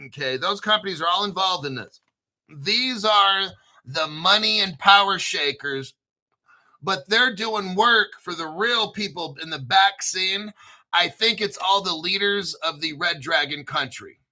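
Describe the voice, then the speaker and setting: A man's voice: sits high, unhurried, sharp tone, low-quality recording. A man hosting his own crypto channel, talking casually and conspiratorially, spelling out names letter by letter and swearing now and then. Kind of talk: casual solo commentary.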